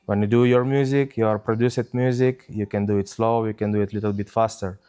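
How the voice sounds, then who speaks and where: A man's voice: on the low side, little pitch movement, fairly quick, warm tone, smooth. A man from Ukraine speaking English, answering interview questions with many hesitations and fillers. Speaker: DJ guest.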